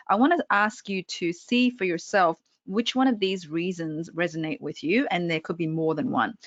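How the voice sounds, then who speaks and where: A woman's voice: fairly low pitch, dry recording. Upbeat Australian woman, speaking coach, talks straight to the audience, dry and slightly dull call audio. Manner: upbeat, addresses the audience directly. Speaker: public speaking trainer. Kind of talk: interactive webinar presentation. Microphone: computer mic on a video call.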